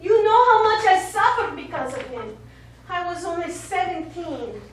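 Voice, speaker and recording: A troubled Italian village woman in her forties played by an actress speaks in a high voice, picked up from a distance in a roomy space. Her delivery in this live play is anxious, wounded and dramatic. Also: animated, moderate pace. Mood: troubled, agitated. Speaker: stage actor. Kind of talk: live stage play dialogue. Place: small indoor theatre studio, recorded from audience distance. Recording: roomy, distant stage recording with background noise.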